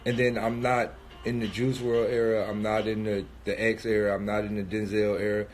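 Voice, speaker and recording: A man's voice: lowish voice, level tone, moderate pace, faint music behind. Sniffly man in his thirties, rambling. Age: 30s. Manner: loose and rambling, thinking aloud with many fillers and restarts. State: sniffly with a cold. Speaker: rapper streaming live. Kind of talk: casual livestream monologue.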